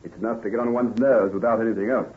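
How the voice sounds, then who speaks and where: Guy doing a sensible protective old-radio gentleman, kinda low voice, brisk, tinny narrow sound. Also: fairly even intonation, natural voice. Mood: brisk, reassuring. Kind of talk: old-time radio drama acting. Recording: tinny, band-limited vintage radio recording.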